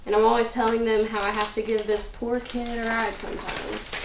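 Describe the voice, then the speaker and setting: A woman's voice: a little high, flat delivery, a little fast, lightly acted. A woman reading the part of a kind, casual teenage girl in a screenplay table read. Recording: echoey room, distant mic, faint noise.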